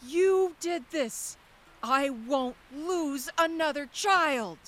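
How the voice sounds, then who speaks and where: A woman's voice: sits high, lively intonation, drawn-out words, a bit bright, heavily acted. An actress playing a desperate, pleading village mother in an audio drama.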